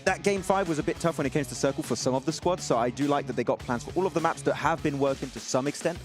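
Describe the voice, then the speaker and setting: Male esports analyst, quick and keen, faint music bed. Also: a little high. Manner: enthusiastic, rapid breakdown.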